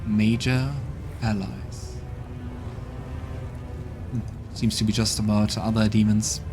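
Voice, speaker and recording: A man hosting a let's play, reading game text aloud and commenting in a relaxed, offhand way. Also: lowish voice, slow pace. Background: game music.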